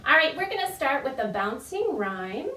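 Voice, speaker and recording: A woman's voice: high voice, very animated, moderate pace, sharp tone, slight background noise. A woman children's storytime leader giving friendly, encouraging instructions to parents and babies in a sing-song, demonstrative way. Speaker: children's librarian leading a baby storytime. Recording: roomy echo, distant mic.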